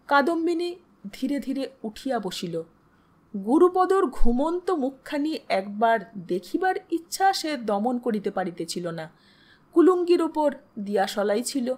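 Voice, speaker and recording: Female narrator, high-pitched voice, for dramatic audio short stories; measured, expressive phrasing with deliberate pauses. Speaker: storyteller, audio story narrator. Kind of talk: dramatic literary narration of a Bengali short story.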